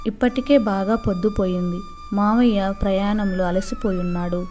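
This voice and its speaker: A woman's voice: medium pitch, steady tone, normal speed, mellow, faint music behind. A woman reading a scripted moral story for children as a storyteller.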